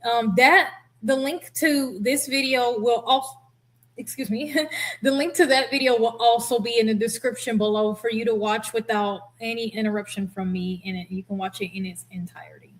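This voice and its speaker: Female reaction host, higher voice, blunt and expressive, casual commentary. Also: normal speed. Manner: blunt, expressive, laughs readily. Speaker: host, former air traffic controller.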